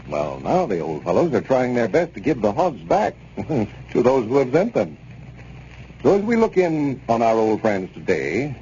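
A man's voice: on the low side, dark tone. A man working as a 1930s radio announcer, reading a script in a polished sales manner. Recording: noisy, dull 1930s radio recording.

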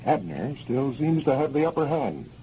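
A man's voice: mid-range voice, sing-song, normal speed, mellow. A man with an American accent delivering scripted narration as a 1930s radio announcer. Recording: muffled, noisy 1930s radio recording.

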